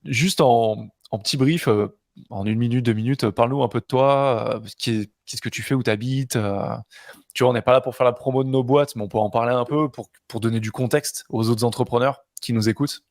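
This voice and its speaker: A man's voice: medium pitch, steady tone, fairly quick, no room sound, clean studio sound. A man co-hosting a podcast in an informal, familiar way, often thinking aloud as he talks. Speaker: podcast co-host and interviewer. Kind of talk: casual conversational interview.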